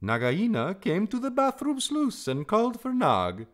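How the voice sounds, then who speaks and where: A man's voice: high-pitched, huge pitch swings, unhurried, pitched above the performer's own voice, exaggerated voice. A man voicing a small, feather-brained male songbird in a storybook, with an exultant, singsong delivery.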